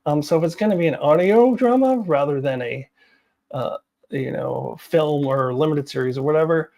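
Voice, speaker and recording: A woman hosting a casual fan commentary video. Her voice has a gravelly fry to it. She talks bluntly and informally, haltingly working through her opinions for the viewer. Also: low-pitched, dark tone.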